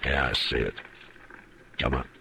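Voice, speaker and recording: An actor playing a grave, steady Old West lawman in an old radio western, speaking in measured, terse phrases with hesitant pauses. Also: gravelly, noisy background, full character voice. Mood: grim, steady. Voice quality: very deep voice. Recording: old radio drama recording.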